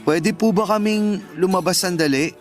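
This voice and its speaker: A man plays a gentle, devoted young son in a Filipino radio drama. His voice sits a little high for a man, courteous and earnest, with soft music behind. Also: normal speed, lightly acted. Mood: polite, sheepish.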